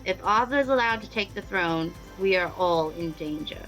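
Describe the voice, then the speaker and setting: A woman's voice: fairly low pitch, animated, normal speed, a bit bright, soft background music, low-quality recording. A woman playing an earnest, determined noblewoman in a radio play, speaking seriously and urgently. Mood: grave, urgent. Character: earnest, determined noblewoman seeking revenge and alliance.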